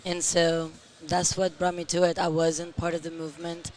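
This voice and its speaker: Hoarse middle-aged woman, guest in an interview, slow and measured. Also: fairly low pitch, level tone, a little mellow. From Europe. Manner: speaks slowly and measured. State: hoarse, tired voice. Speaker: interview guest, company founder. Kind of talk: casual interview.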